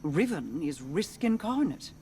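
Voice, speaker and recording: An actress voicing a regal, calculating queen in a video game, speaking in a measured, commanding way. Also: sits low, sing-song, warm tone, slight background noise. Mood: grave.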